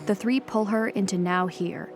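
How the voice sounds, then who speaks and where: A woman's voice: mid-range voice, normal speed, music in the background. A woman narrating an audio play, reading stage directions as scripted dramatic narration.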